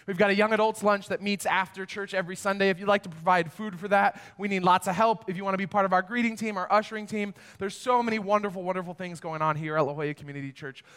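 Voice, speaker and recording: Upbeat high-voiced man, slightly hoarse. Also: fairly bright tone. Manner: upbeat and welcoming. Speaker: student ministries director. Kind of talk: church announcements. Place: church sanctuary. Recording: long hall reverb.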